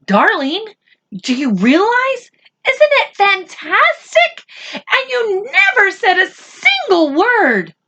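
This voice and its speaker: A woman voicing an excitable, gushing mother in a children's story, emphatic and theatrical. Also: very high-pitched, takes it slowly, pitched above the performer's own voice, heavily acted. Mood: thrilled, bursting.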